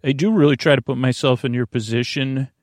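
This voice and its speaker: A man hosting a bedtime podcast in a creaky voice, rambling in a lulling, halting, meandering way. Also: medium pitch, a little mellow, no echo, clean studio sound. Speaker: podcast host.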